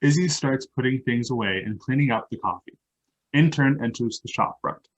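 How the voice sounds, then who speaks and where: A man with a slightly husky voice reading stage directions as scripted narration for a play. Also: medium pitch, moderate pace, highs cut off. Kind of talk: scripted narration at a live table read.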